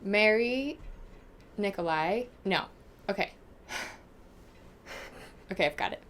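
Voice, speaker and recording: A young American woman talking casually and informally to viewers as a book vlogger, gushing and animated as she answers questions. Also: on the low side, measured pace, a little hiss or hum. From United States.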